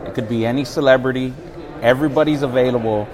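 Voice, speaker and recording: Brisk man reading interview questions. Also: medium pitch, steady tone, a crowd in the background. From San Antonio. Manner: brisk, affirming with quick yeahs. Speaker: podcast host.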